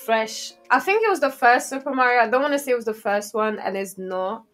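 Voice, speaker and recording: A woman's voice: a little high, animated, fairly quick, a bit bright. A woman in her twenties from Portugal chats casually as a podcast guest, telling things in slangy English. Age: 20s. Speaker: podcast guest and game streamer. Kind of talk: casual interview chat. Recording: music playing behind the talk.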